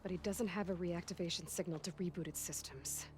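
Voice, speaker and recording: A woman's voice: on the low side, a little fast, a little mellow, slight background noise, close to an everyday voice. A woman playing a determined, wry young warrior heroine in a video game, speaking in an earnest, purposeful way. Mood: focused urgency. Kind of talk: naturalistic video game dialogue acting.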